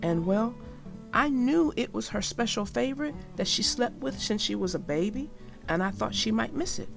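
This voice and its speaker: Storybook bear cub girl, voiced by a woman: childlike, emphatic, bossy yet kind, very animated. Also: fairly high pitch, moderate pace, vocal fry, over music. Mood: sheepish kindness. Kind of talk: children's storybook read-aloud.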